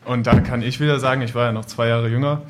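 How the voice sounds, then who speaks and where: Young male guest, average pitch, easygoing casual storyteller. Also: fairly even intonation, fast, loud music behind the voice. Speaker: podcast guest recalling childhood.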